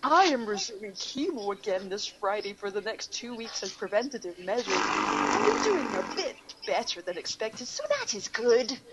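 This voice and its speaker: A woman on an online radio show giving a mocking dramatic reading, with sarcastic asides. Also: medium pitch. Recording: noisy room ambience behind voice.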